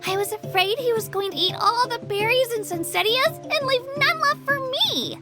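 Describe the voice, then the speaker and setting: A woman's voice: extremely high pitch, lively intonation, normal speed. A woman voicing a small, friendly young girl in a video game, chirpy, affectionate and earnest. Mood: fond reminiscing. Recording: game music behind the voice.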